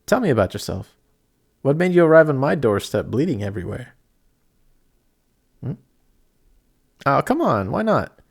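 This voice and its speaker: A man's voice: mid-range voice, very animated, moderate pace, vocal fry, long pauses, breathy edge, heavily acted. Guy acting as a young sorcerer apprentice in an audio rp, chatty n flustered, kinda stammery, sweet n eager. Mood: friendly, proud. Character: young male apprentice sorcerer, excitable, awkward, kindhearted. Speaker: voice actor. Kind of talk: amateur audio roleplay acting addressed to the listener.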